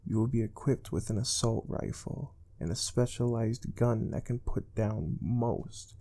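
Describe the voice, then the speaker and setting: A man playing a calm, soft-spoken space research team interviewer in an ASMR role play, explaining things gently and without hurry. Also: on the low side, a little slow. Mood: calm, serious. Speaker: ASMR performer.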